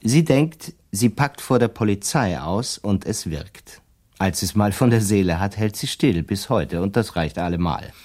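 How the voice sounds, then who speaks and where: An actor playing a shrewd, self-assured defence lawyer in a radio play, explaining his schemes deliberately and slyly. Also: fairly low pitch, expressive, darkish tone. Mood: triumphant, scheming. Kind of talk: German radio drama dialogue.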